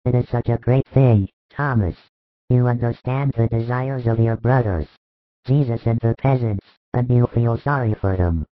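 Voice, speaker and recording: Guy doing a calm steady teacher, firm and measured, thin phone-ish dry audio. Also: medium pitch, no room sound, phone-quality sound, lightly acted. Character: calm, resolute male teacher. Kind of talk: audiobook reading.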